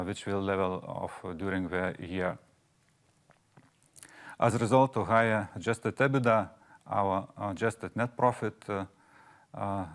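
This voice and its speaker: A man delivers financial results formally, as a finance chief would. He moves through the material haltingly, with many small hesitations between phrases. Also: lowish voice.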